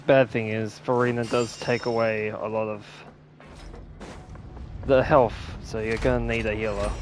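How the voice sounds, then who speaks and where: A man's voice: fairly low pitch, flat delivery, unhurried, slight background noise. A man giving casual gameplay commentary, musing offhandedly to himself as he plays. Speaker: gaming streamer. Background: game music.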